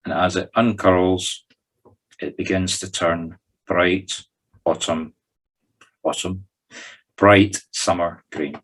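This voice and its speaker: A man's voice: deep voice, steady tone, takes it slowly, pauses often, a bit bright, slightly rough, rough recording. A Scottish man leading a guided meditation, talking listeners through each step in an informal, conversational way. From Scotland. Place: recorded in a group video call.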